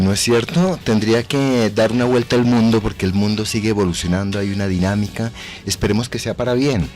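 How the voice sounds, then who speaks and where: An older man with a low voice talks as an interview guest. He explains his points like a teacher, adding little tag questions, while music plays behind him. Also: darkish tone. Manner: didactic, explanatory, seeks agreement with tag questions. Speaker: guest voice actor. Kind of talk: thoughtful radio interview talk.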